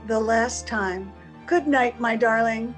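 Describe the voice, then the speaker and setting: Actress as high-voiced, unhurried, youthful wife. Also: female voice, over music. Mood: tender, wistful. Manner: earnest, theatrical. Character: youthful, earnest, sweet wife dreading growing old. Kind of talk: old-time radio drama acting. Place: at home, recorded over video call.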